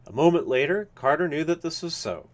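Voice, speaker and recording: Man reading weird fiction aloud, measured and dramatic. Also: on the high side, animated. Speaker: reader of a story. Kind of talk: live literary horror story reading.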